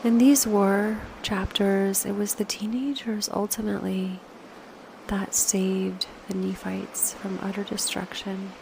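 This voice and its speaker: A woman leading a slow guided meditation, speaking softly and unhurriedly in short phrases with pauses, often posing reflective questions. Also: mid-range voice, level tone, vocal fry, slight background noise, low-quality recording.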